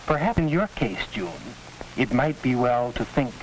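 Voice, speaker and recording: A formal, courteous school counselor played by a man in a 1940s educational film. He speaks didactically, with a lively rise and fall in his mid-pitched voice. A faint hiss lies over the old soundtrack. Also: normal speed, close to an everyday voice, low-quality recording. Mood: courteous, guiding. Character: formal, measured male school counselor guiding a student.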